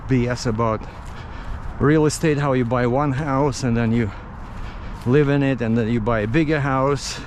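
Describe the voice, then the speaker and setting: Slow-talking Russian-accented man outdoors. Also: medium pitch. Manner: halting, searching for words. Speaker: vlogger. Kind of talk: casual rambling storytelling. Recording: outdoor street noise with traffic.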